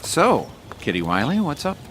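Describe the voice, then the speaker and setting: An actor playing a cocky, easygoing young college student in an audio drama, talking in a casual, teasing way. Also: male voice, a little high, huge pitch swings, moderate pace, a bit bright, a little hiss or hum. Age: young adult.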